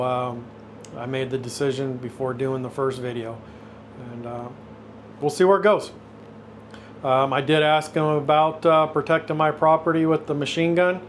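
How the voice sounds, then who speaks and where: Man in his fifties, noisy recording. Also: medium pitch. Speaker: host of a YouTube channel. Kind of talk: casual unscripted talk, intro and phone interview.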